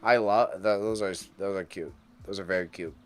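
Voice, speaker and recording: A man hosting a casual auction livestream, chatty and excitable as he rambles to his chat, with a mild impediment on sh and ch sounds. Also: low voice, normal speed, smooth. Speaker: livestream host reacting to an online auction. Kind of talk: casual live stream commentary.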